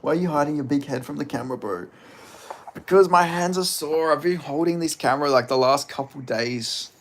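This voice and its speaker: A man's voice: fairly high pitch, fairly quick, slight background noise. A man chatting casually on a livestream, sweary and self-deprecating about his own skills. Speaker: streamer doing impressions.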